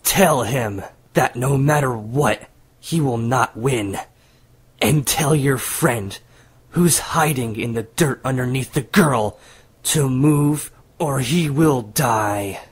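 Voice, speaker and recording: Man playing husky, secretive teenage dragon demon. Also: mid-range voice, slow. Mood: commanding. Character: teenage-looking male water dragon demon, secretive, calm, protective. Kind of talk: amateur audio drama acting.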